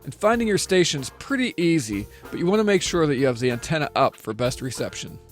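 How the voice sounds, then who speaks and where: A man's voice: a little high, sing-song, fast talker, soft background music. A middle-aged American man narrating a scripted product review in a methodical, explanatory way. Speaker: gear reviewer.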